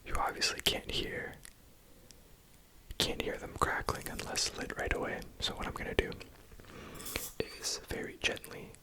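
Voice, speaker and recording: Young Franco-Ontarian man, unhurried ASMR whisper, soft and gentle throughout. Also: rough recording. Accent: Franco-Ontarian French. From Ontario, Canada. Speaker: ASMR creator.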